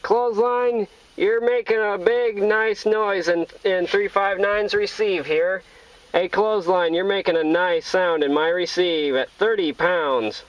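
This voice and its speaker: A man's voice: sits high, fairly even intonation, unhurried. A man chatting in CB radio slang, calling out repetitively from inside a vehicle. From Iowa, United States. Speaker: CB radio operator. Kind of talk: casual CB radio chat in radio slang. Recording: noisy, muffled in-car CB radio recording.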